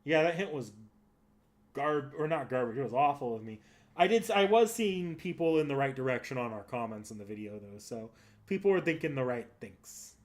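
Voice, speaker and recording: A man with an average-pitched voice talks casually as a livestream host. He rambles through his thoughts, backing up and correcting himself as he answers his viewers. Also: animated, a little fast. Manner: rambling, thinking aloud with frequent self-corrections. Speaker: streamer and host.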